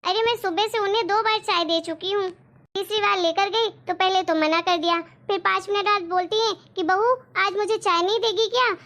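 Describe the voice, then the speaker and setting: A woman's voice: extremely high pitch, steady tone, normal speed, fairly bright tone. A woman voicing an exasperated daughter-in-law who argues with her forgetful mother-in-law. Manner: exasperated, arguing, explaining at length. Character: exasperated young daughter-in-law, put-upon.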